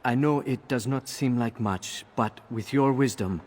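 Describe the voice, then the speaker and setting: An actor playing a roguish, wry carouser in a video game, talking in a wordy, mock-respectful way. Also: male voice, medium pitch, measured pace, a little mellow, close to an everyday voice. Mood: wryly sage. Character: roguish, wry carousing man seeking help. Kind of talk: scripted game cutscene acting.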